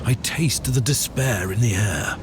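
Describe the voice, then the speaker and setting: A man playing a grave, devout inquisitor psyker in a video game, speaking in a solemn, formal, measured way as if dictating a log. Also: fairly low pitch, over music, lightly acted. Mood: grim, righteous. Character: grave, devout male inquisitor psyker investigating a derelict fortress. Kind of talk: scripted game dialogue and audio log narration.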